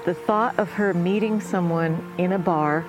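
A woman's voice: fairly low pitch, moderate pace, over music. A woman co-hosting an interview, asking questions in a probing, conversational way. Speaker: co-host.

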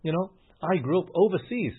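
A man's voice: high-pitched, expressive, fairly quick, slightly rough, phone-quality sound. A man preaching a sermon in an earnest way that builds to emphatic peaks, often restarting his sentences. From grew up in England, Australia and the US.

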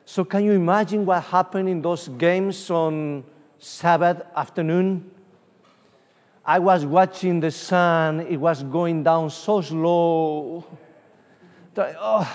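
A middle-aged man from Uruguay with a Spanish accent, preaching as an evangelist at a conference and telling personal stories with many fillers. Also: on the high side. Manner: animated, storytelling, frequent fillers. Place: large conference hall. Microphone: room microphone from a distance. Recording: distant voice with long hall reverb.

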